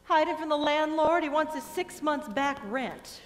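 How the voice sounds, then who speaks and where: Woman acting high-voiced troubled jazz singer onstage. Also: unhurried. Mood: restless, defiant. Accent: American. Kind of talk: staged reading of a music drama, sung and spoken. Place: performing arts theater stage. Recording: reverberant theater hall.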